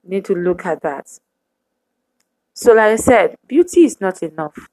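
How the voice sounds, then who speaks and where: A woman preaching a sermon to women, speaking in measured, emphatic repetitions. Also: mid-range voice, sing-song, a little mellow, dry recording, low-quality recording.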